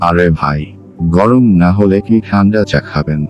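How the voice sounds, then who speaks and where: A man as a low-voiced, teasing tea seller. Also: normal speed, darkish tone, soft background music, close to an everyday voice. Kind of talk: cartoon dialogue.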